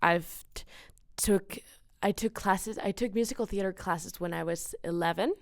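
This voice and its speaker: A woman's voice: medium pitch, normal speed, vocal fry, no echo, clean studio sound. A woman in her late teens from Mexico, giving enthusiastic, earnest, casual answers as an interview guest. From Cancun, Mexico. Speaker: podcast guest, student singer-songwriter.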